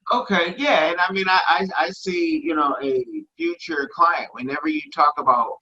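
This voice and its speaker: A man's voice: a little high, normal speed, pushed and bright. A man hosting a podcast, asking long, rambling questions with many restarts. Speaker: podcast host. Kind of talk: casual interview questions. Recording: dull lo-fi recording.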